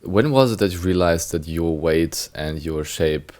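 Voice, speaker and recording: A man's voice: low-pitched, unhurried, darkish tone. A man hosting a testimonial interview over a video call, encouraging and enthusiastic with short affirmations. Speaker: interview host for a coaching company.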